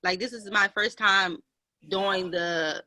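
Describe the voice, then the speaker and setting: A woman's voice: mid-range voice, slow, pushed and bright. A woman chatting casually as an actor on a video-call panel. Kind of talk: casual chat.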